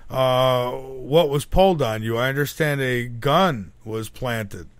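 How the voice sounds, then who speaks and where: A male radio host at an ordinary pitch. He rambles through his introductions and questions, packing them with "uh" and "you know", and the recording sounds dry and close. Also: slow pace, no echo. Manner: rambling with frequent fillers. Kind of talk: casual radio interview.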